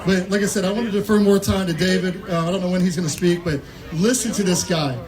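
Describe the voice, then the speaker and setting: A man's voice: high voice, fairly even intonation, quick pace. A man giving a rally speech outdoors, calling out emphatic points to a crowd. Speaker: rally speaker. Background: cheering crowd.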